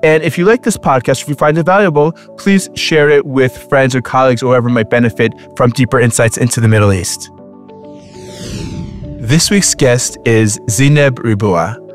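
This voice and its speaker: A man hosts a podcast in a measured, announcer-like way, introducing his guest in a steady mid-range voice. Soft music plays faintly behind him. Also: medium pitch. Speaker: podcast host. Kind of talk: scripted intro and interviewing.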